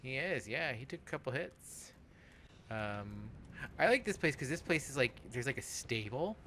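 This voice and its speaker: A man of about forty chatting casually over gameplay, rambling and halting, with plenty of swearing. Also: medium pitch, lively intonation, bright, forceful tone, a little hiss or hum. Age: around 40. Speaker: host of a gaming let's play podcast.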